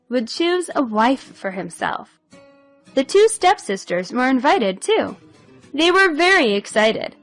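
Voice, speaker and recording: Slow, high-pitched woman telling fairy tales. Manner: expressive, measured storytelling. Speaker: storyteller. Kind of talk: scripted fairy tale narration for children.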